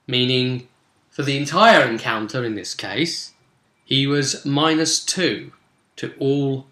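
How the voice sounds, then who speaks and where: Bright-voiced man recapping a game, roomy sound. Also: medium pitch. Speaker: game master recapping a session. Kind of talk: casual tabletop role-play session recap.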